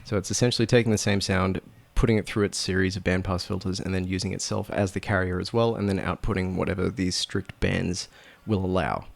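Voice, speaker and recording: A man narrating a casual software tutorial in a low-key, matter-of-fact way, like a sound designer explaining his process. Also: steady tone, a little fast, gravelly, hardly any pauses, no echo.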